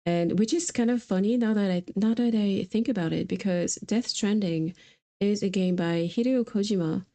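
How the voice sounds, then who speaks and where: A woman's voice: mid-range voice, normal speed, mellow. A woman streamer chatting casually about video games in a conversational, easygoing way. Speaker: streamer host.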